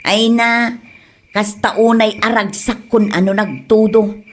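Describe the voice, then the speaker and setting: A woman's voice: medium pitch, fairly even intonation, slow pace. A woman acting a demanding, nagging village wife with an Ilocano Filipino accent, bossy and complaining, in a comedy drama.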